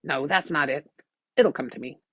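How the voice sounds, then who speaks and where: Gruff elderly female sci-fi trader, played by a woman narrator; grudging, wary, husky, dry recording. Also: deep voice, moderate pace, no echo, muffled recording. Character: gruff, suspicious old woman, crusty hologram smuggler.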